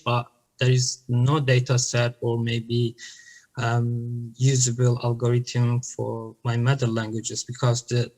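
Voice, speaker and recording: A man in his thirties with a Turkish accent, answering questions on a video call in halting English with many restarts. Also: mid-range voice, darkish tone, low-quality recording. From Turkey. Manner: halting, with frequent restarts and fillers. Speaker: conference presenter. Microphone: laptop webcam mic on a video call.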